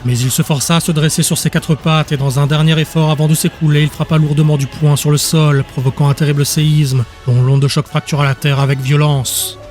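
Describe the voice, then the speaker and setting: Higher-pitched man narrating a dramatic audiobook. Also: little pitch movement, fairly quick, hardly any pauses. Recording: music behind the voice.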